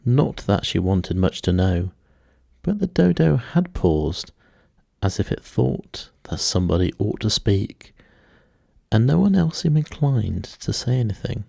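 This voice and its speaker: A man narrates a bedtime storybook at a very unhurried pace. His voice is dark and mellow with a husky edge, and he keeps a hushed, measured, soothing delivery made for sleep. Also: on the low side, sing-song, no room sound, studio quality.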